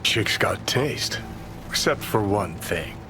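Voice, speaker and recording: An actor playing a cynical, abrasive rebel in a video game, sardonic, profane and sneering. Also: male voice, on the low side, animated, slow pace, over music. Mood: sardonic, needling. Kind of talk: cinematic video game acting.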